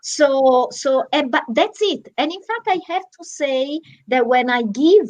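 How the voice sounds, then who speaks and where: A woman's voice: high voice, unhurried, rough recording. A woman with an Italian accent, artistic director of a theatre troupe, chatting animatedly on a video call with many restarts. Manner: lively, animated, frequent restarts. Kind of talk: casual video-call chat.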